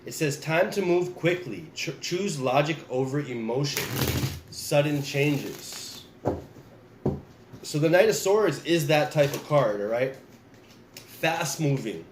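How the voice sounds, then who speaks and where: A man's voice: on the high side, slow pace. Man, tarot reader, getting over flu, roomy echo, faint hiss, talks to viewers. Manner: relaxed, repeats and restarts, addresses viewers directly. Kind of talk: casual spoken tarot reading.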